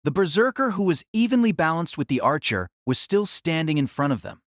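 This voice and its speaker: A man's voice: fairly high pitch, big pitch swings, fairly quick, fairly bright tone, no room sound, studio quality. A man narrating an audio drama in a dramatic, scripted style that rises and falls with the action.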